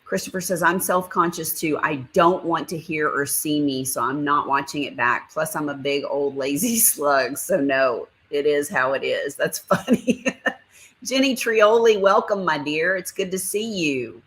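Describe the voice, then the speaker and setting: A woman's voice: low voice, unhurried, fairly bright tone. A woman hosting a casual livestream, rambling about her craft supplies and reading viewer comments aloud. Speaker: livestream host.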